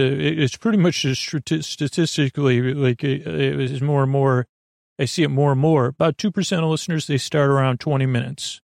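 A man hosting a bedtime podcast in a soft, gentle, rambling monologue, halting often and circling back as he loses his thread. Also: medium pitch, vocal fry, no room sound, clean studio sound. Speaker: podcast host.

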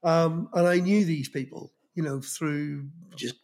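A man's voice: fairly high pitch, fairly even intonation, unhurried, a little mellow. A man from Belfast with a Belfast accent, in his fifties, recalling his start in music journalism in a rambling, anecdotal interview manner with many restarts. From Northern Ireland. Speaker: guest, magazine journalist. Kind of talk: reflective interview talk.

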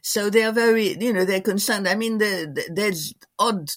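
A man's voice: high voice, moderate pace, fairly bright tone. A man giving conversational political analysis as an interviewed expert, thinking aloud in loose, hedged sentences.

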